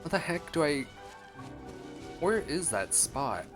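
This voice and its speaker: A man's voice: a little high, big pitch swings, slow pace. A man doing casual, playful gameplay commentary as a streamer, rambling as he thinks aloud. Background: game music.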